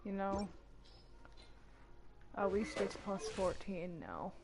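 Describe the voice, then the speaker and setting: A woman streaming a game and chatting casually as she plays. Her pitch is average, and faint music runs behind her. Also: very animated, takes it slowly, creaky. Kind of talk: casual live stream commentary.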